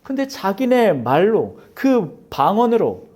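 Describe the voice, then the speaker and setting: Man, pastor, from Seoul, sermon, lively swooping pitch, dark warm tone, acts out quotes, drops to near whispers. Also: takes it slowly, breathy edge. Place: church sanctuary.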